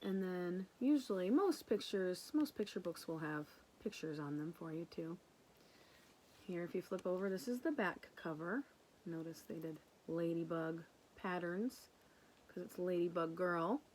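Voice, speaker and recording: A woman's voice: fairly low pitch, unhurried, darkish tone, a little hiss or hum, poor audio. A woman speaking as a teacher to young children, reading a picture book and explaining it simply and affectionately. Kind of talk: picture-book read-aloud with casual explanations.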